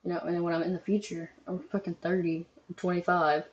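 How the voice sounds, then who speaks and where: A young adult host chats loosely over a video, rambling, filling gaps with ums and tossing in casual swears. The voice sits in a middle pitch. The recording sounds dull and lo-fi. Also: little pitch movement, fast, slight background noise. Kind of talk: casual reaction commentary.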